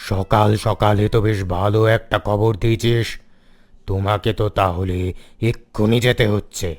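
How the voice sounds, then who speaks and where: A man voicing a lazy, gruff tiger king hungry for prey in a cartoon. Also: fairly low pitch, steady tone, moderate pace, soft background music, lightly acted. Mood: greedy. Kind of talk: cartoon dialogue.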